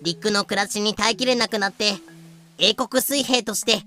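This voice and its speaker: A woman's voice: medium pitch, normal speed, pushed and bright, soft background music, natural voice. A woman playing a prim, knowing girl who explains history in a didactic manner in an audio drama. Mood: explanatory. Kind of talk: fan-made Japanese voice drama acting.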